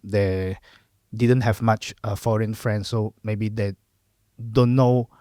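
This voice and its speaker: A middle-aged Malaysian man whose first language is Cantonese, chatting in a relaxed, reflective way as a podcast guest. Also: lowish voice, slow, no echo, studio quality. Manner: relaxed, reflective, lots of fillers and "lah" particles. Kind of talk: casual interview chat.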